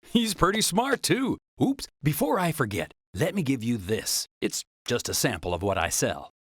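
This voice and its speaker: A man's voice: mid-range voice, very animated, normal speed, husky, dry recording, studio quality. An actor playing a jovial, talkative travelling salesman in a video game cutscene, with a folksy, chatty manner. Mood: cheerful, relaxed. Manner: chatty, folksy, chuckling, exclamatory.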